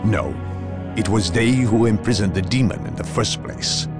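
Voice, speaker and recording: An actor in a video game playing a grave, haughty inquisitor, speaking in a portentous, theatrical way. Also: male voice, lowish voice, normal speed, over loud music, lightly acted. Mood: grave, ominous. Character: grave, haughty inquisitor, a zealous hunter of evil. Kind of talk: dramatic video game cutscene acting.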